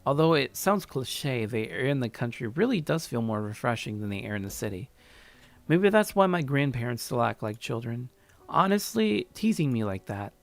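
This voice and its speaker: A man narrating a first-person story aloud in an expressive way, now and then stumbling over his words. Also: mid-range voice, vocal fry, dry recording. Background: faint music.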